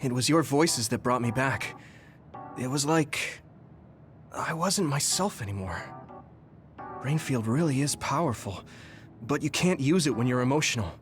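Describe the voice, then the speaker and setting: An actor playing an earnest, soft-hearted young soldier hero in a video game, with a gentle, hesitant manner. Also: male voice, medium pitch, expressive, unhurried, pauses often, faint music behind, natural voice. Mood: apologetic, subdued. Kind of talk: video game cutscene voice acting.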